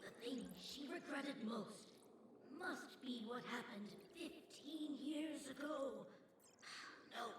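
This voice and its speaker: A woman's voice: high voice, takes it slowly, noisy background. A woman voicing a cautious, formal academy staff member in a video game, guarded but sentimental. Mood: wistful, hesitant. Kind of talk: game voice acting. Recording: roomy echo, distant, loud music underneath.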